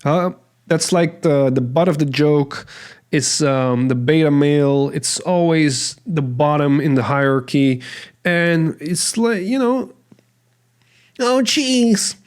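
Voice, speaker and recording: A man's voice: a little high, unhurried, darkish tone, clean tone. A man hosting a casual solo podcast, rambling in a self-deprecating manner. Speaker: podcast host.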